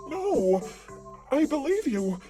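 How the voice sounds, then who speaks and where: A woman acts out an awkward, anxious young ghost from a visual novel. The voice is high and mellow, taken at an unhurried pace. It stammers and apologizes in a friendly, flustered way. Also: sing-song, faint music behind, full character voice. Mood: sheepish, nervous. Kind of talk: visual novel character acting.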